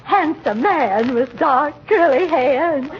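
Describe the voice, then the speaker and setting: Gushing, high-voiced spinster neighbor. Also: female voice, lively intonation, takes it slowly. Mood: flirtatious, flustered. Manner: gushing, fluttery, giggly. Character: gushing, coy, nosy spinster neighbor lady. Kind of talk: 1940s radio comedy acting. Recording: muffled, noisy old radio recording.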